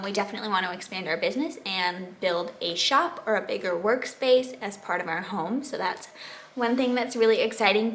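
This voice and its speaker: Bubbly young American woman vlogger. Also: medium pitch. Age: 20s. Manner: bubbly, expressive, emphatic word repetition. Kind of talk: casual question-and-answer chat to viewers.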